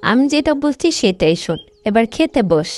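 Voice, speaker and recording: Cartoon village mom, female actor: high, animated pitch, sharp tongue, expressive. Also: normal speed. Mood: scolding. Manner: scolding, expressive.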